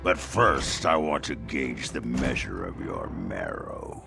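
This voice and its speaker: Gravelly, sinister necromancer. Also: male voice, rumbling low, big pitch swings, music in the background, exaggerated voice. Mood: haughty, menacing. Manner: slow, haughty, contemptuous delivery. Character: sinister arrogant male chief necromancer of a queen. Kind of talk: fantasy video game acting.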